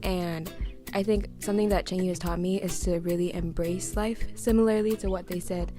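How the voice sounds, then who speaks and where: A young woman speaks in a lowish, level voice, measured and thoughtful. Guitar music is clearly heard behind her. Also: fairly even intonation, mellow. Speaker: mentor and supervisor. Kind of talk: documentary interview.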